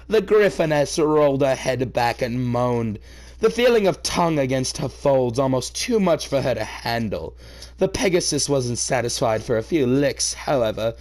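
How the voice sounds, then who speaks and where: Guy doing a comedy fanfic reading, pitch all over the place, sweary, theatrical, goes shrieky when appalled. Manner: profane, theatrical, breaks into high-pitched incredulous outbursts. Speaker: host reading fan fiction aloud. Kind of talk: comedic dramatic reading with ranting asides.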